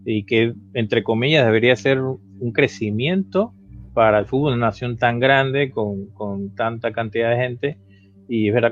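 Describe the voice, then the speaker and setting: A man hosts a football webinar over a dull, lo-fi video call line. He nods along to his guest with short agreements. He stumbles and repeats words as he builds his questions. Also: medium pitch. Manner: affirming, stammering restarts. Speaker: host and football coach. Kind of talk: webinar interview host.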